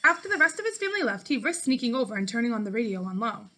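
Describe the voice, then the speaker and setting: Bright, higher-voiced woman reading aloud. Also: sing-song, very fast. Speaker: teacher reading a book aloud to fifth graders. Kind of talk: read-aloud storytelling for children.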